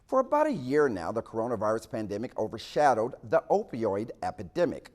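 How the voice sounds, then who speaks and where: An American man reads the news in a scripted broadcast style. His mid-pitched voice moves up and down in an animated way, and the sound is dry, with little room reflection. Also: fairly quick, dry recording. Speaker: news anchor and field reporter.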